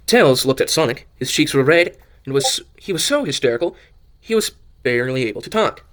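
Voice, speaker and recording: A man's voice: medium pitch, very animated, a little fast, fairly bright tone. A man narrating a fan audio drama as an amateur, often stumbling over and repeating words as he reads. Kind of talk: amateur story reading.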